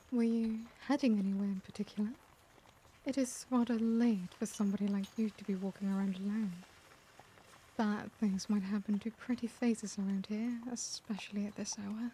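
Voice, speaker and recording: Elegant vampire lady played by a woman, ASMR roleplay: relaxed dark tone, medium pitch, gentle, caring, formal manners. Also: moderate pace, pauses often, a little hiss or hum, close to an everyday voice. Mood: gentle, welcoming. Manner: genteel, gentle, doting, formal wording. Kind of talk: soft-spoken ASMR roleplay.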